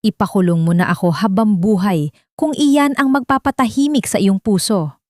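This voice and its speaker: A woman's voice: mid-range voice, moderate pace, darkish tone, no echo, clean studio sound, lightly acted. A woman voicing a heartbroken, self-sacrificing female teacher in an audio drama, imploring and emphatic with a broken voice. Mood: anguished surrender. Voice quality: broken, anguished voice.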